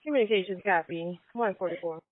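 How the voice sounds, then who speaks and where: A woman's voice: on the low side, quick pace, slight background noise. Phone-like female emergency dispatcher. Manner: clipped, procedural. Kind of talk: emergency radio dispatch announcements. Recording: narrow, phone-like dispatch radio.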